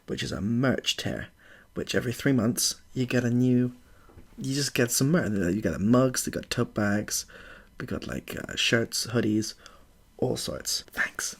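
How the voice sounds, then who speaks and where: A man's voice: medium pitch, gravelly, husky. A British man talking casually and informally to his viewers as a video creator.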